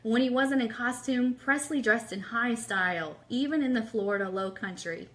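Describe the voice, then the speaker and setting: A woman's voice: a little high, normal speed, fairly bright tone. A woman hosting a radio show livestream, chatting casually and enthusiastically with her audience and reading aloud. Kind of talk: casual livestream chat with book read aloud.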